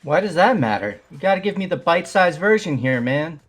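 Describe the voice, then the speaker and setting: Someone doing a loud goofy young dude, blunt and laid-back. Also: mid-range voice, sing-song, a little fast, faint music behind. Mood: surprised.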